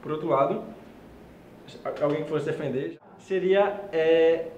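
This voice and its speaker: Measured young man, roomy echo. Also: fairly high pitch, darkish tone, highs cut off, slight background noise. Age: 20s. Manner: measured, weighing both sides. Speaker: law student panelist. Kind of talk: casual panel debate.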